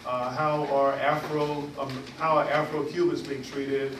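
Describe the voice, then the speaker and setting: A man's voice: medium pitch, level tone, a little slow. A man chairing a community board meeting, reading out his report with many fillers and restarts. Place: meeting room. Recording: echoey, distant, noisy room recording.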